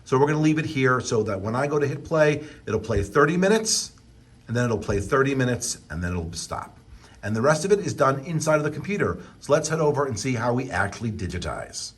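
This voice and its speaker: A man with a medium-pitched voice leads a how-to lesson in a casual, conversational way. He is chatty and playful, talking directly to the viewer as an instructor who likes to pose their questions for them. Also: sing-song, a little fast. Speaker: instructor presenting a how-to video.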